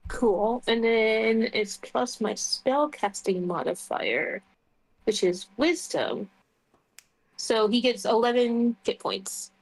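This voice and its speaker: A woman's voice: on the high side, slow, poor audio. A woman chatting casually as she plays a tabletop roleplay game.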